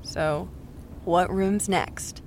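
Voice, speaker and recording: A woman's voice: fairly low pitch, steady tone, very slow, darkish tone, a little hiss or hum. A woman acting a loyal, dry, teasing best friend in her thirties in a naturalistic audio drama. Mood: teasing, supportive.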